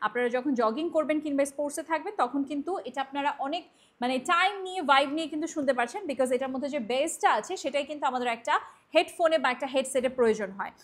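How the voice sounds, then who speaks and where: A woman with a Bangladeshi accent giving a casual, chatty product pitch as a shop presenter, mixing in English words. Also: rapid-fire, a bit bright.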